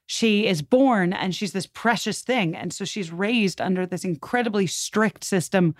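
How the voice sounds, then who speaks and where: A woman's voice: mid-range voice, unhurried, no room sound, studio quality. A woman guest on a podcast talking history in an informal, emphatic storytelling way. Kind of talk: conversational history discussion.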